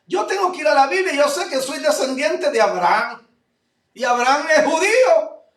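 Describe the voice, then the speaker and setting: A male pastor from Puerto Rico with a Puerto Rican accent, preaching a fervent sermon that swings between loud declarations and low asides. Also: lively intonation, moderate pace. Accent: Puerto Rican Spanish. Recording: echoey room, voice from far off mic.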